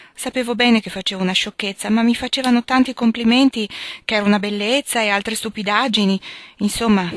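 A woman's voice: mid-range voice, no echo, close to an everyday voice. A woman reading a storybook aloud, voicing an embarrassed young woman confessing to her mother.

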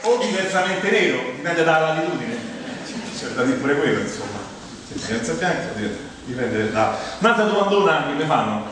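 A man's voice: a little high, expressive, fairly quick, a bit bright, a faint crowd. Roman man performing in a very echoey hall. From Rome, Italy. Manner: wry, ironic, conversational with mock-solemn passages. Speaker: stage monologuist. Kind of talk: comic theatrical monologue. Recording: very echoey hall, distant mic, clear noise.

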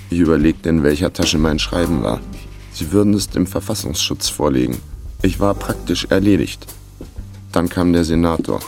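A man's voice: sits low, gravelly. An actor playing a laconic investigator who narrates his own case dryly in a radio crime drama. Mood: tense, laconic. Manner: dry, laconic. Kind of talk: scripted first-person radio drama narration.

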